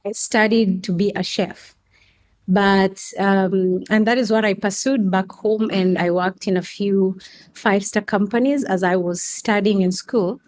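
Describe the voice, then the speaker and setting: A young adult woman from Kenya telling a podcast host about her path into coffee, speaking slowly with fillers and restarts. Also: mid-range voice, steady tone, darkish tone. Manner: unhurried, frequent restarts and fillers. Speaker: guest, coffee customer experience manager. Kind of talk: casual interview answers.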